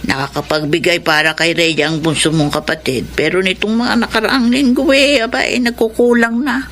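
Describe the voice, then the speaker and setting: A woman's voice: on the low side, fairly even intonation, moderate pace, fairly bright tone, a little hiss or hum, natural voice. A woman playing a wary, plain-spoken elderly grandmother in a Filipino radio drama. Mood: worried. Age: older.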